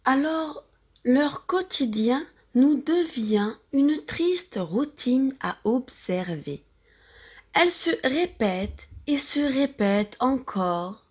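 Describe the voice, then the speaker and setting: A woman from France with a French accent reads a novel aloud for language learners, enunciating carefully and deliberately in short phrases. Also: high voice, expressive, husky. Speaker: audiobook-style reader for language learners. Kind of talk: slow scripted novel narration for pronunciation practice.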